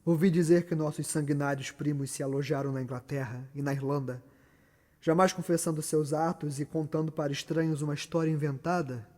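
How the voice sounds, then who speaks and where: A man's voice: fairly high pitch, steady tone, mellow, lightly acted. A Brazilian man playing a tormented, guilt-ridden, ambitious murderer in a solemn, declamatory Shakespearean stage monologue. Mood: quiet, brooding. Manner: declamatory, solemn, dramatic pauses. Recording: echoey hall with long reverb.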